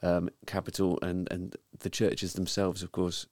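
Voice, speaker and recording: A man hosting a weekly news talk show, speaking in a measured way with frequent ums. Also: sits low, completely flat delivery, a little mellow, dry recording. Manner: measured, hesitant with many ums. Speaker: podcast host. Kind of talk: casual news discussion.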